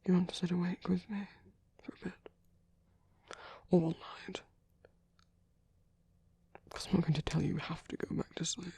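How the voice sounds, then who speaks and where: Someone voicing a breathy, whispering tender villain lover. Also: mid-range voice, level tone, no echo. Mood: gentle, protective. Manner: slow, gentle, hushed, reassuring. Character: tender reformed villain, soothing and protective lover. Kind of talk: intimate ASMR romantic roleplay.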